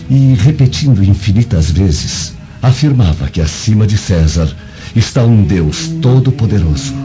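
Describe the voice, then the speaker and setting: A man's voice: low voice, muffled recording. An actor playing an earnest, awed Roman nobleman in a radio drama, telling his account solemnly and respectfully. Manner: solemn, deferential storytelling. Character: earnest, awed Roman senator, humble and reflective.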